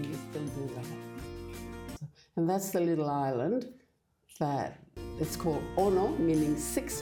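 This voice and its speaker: An older woman from Fiji, talking in a halting, hesitant way as a guest in a casual church interview. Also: deep voice. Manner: hesitant, halting with many restarts.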